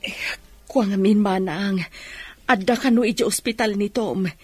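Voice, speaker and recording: Casting: actress as homely older Ilocano mother, chatty yet worried, husky, dry radio drama recording. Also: medium pitch, moderate pace, no echo, natural voice.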